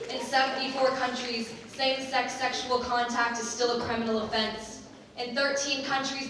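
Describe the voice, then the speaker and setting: A woman's voice: on the high side, bright, forceful tone. Echoey, distant young woman reciting slam poetry. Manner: impassioned, deliberate, emphatic delivery with dramatic pauses. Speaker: student slam poetry performer. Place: large echoing room, live stage performance. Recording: echoey hall, distant mic, faint noise.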